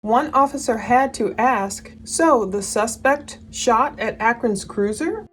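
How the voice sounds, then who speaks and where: Woman hosting true crime channel, kinda high voice, emphatic n opinionated, noisy background. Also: slow, a bit bright. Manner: opinionated, emphatic, poses rhetorical questions. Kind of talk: true crime news commentary to viewers. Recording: noticeable background noise.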